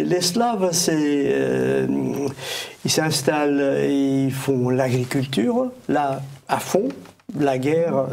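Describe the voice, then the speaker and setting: A man talks through history as a studio guest, in a language that is not his mother tongue. His voice sits somewhat high, and he moves at an unhurried pace. He hesitates constantly, filling the gaps with fillers and restarts. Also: vocal fry, darkish tone, a little hiss or hum. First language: not French. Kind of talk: studio discussion.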